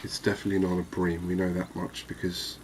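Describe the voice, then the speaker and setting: A man giving quiet, cozy ASMR commentary on a game, unhurried and thinking aloud. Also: deep voice, monotone, a little hiss or hum. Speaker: gaming video host.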